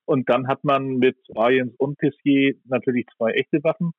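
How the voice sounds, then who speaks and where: A man's voice: mid-range voice, steady tone, moderate pace, no echo. A man giving conversational sports analysis in a considered way, thinking aloud as he weighs his judgments. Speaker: sports pundit. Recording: dull, band-limited audio.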